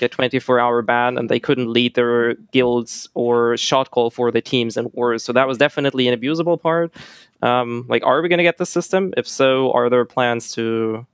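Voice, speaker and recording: A man's voice: mid-range voice, clean tone, no echo, clean studio sound. A man joining a livestream panel, laying out a detailed question with a concrete example. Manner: explains at length, uses examples. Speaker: panel guest.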